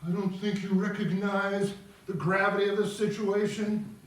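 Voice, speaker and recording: Man performing a stern investigator, echoing room. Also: high voice. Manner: stern, declamatory, drawn-out. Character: annoyed, threatening investigator confronting a ghost. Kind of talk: opera recitative. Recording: echoey room, distant mic, music.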